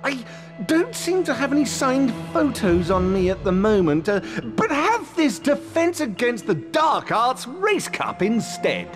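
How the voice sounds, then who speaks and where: An actor playing a vain, showy British wizard professor in a video game, pompous and self-satisfied. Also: male voice, very high-pitched, huge pitch swings, unhurried, in a large hall, over music, exaggerated voice. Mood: smug, showy. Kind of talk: video game character acting.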